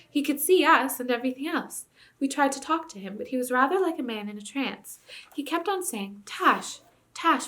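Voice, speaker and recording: A woman voicing a gentle, earnest young girl. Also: a little high. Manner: gentle, pleading and tender. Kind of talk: audiobook character reading.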